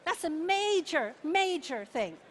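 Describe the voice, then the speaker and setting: High-pitched Irish woman giving a keynote. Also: sing-song. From Ireland. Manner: emphatic, rallying, with rhetorical questions and deliberate repetition. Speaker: keynote speaker, founder and CEO. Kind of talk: motivational conference keynote. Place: conference venue, speaking to an audience. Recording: clearly noisy live conference stage.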